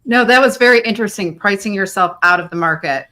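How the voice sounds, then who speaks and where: A woman's voice: mid-range voice, fast talker, pushed and bright. A woman hosting a conversational interview, putting questions to a guest. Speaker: podcast host, interviewer.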